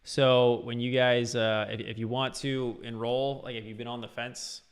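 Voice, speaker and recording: A man's voice: medium pitch, little pitch movement, normal speed, clear, resonant tone. A man teaching guitar on a casual livestream, talking loosely with lots of fillers. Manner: loose, rambling, full of fillers.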